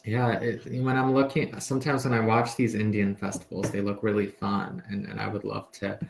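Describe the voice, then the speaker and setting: A man's voice: on the low side, little pitch movement, moderate pace, vocal fry, a little hiss or hum. A man from the tutoring English in a casual conversation lesson over a video call, friendly and hesitant, with many fillers and restarts. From United States.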